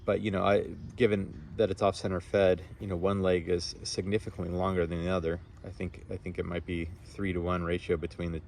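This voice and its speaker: A man's voice: deep voice, flat delivery, brisk, few pauses, a little mellow, a little hiss or hum. A man, an amateur radio operator, chatting casually and explaining technical things in a rambling way outdoors. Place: outdoors on a front lawn.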